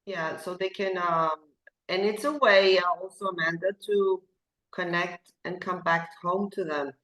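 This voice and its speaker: Woman host, halting interviewer, bright tense voice, echoey room. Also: fairly low pitch. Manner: halting, searching for words. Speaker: show host. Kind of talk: interview questions.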